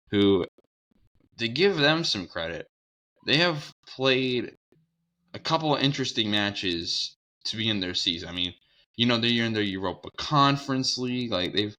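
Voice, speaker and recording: A young man with a hoarse voice from a cold, hosting a casual sports preview show, rambling and correcting himself as he goes. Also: mid-range voice, sharp tone. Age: young adult. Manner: rambling, self-correcting, upbeat.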